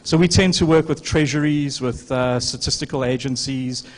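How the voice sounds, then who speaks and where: Measured male panelist explaining. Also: mid-range voice, a little hiss or hum. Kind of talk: panel discussion answer.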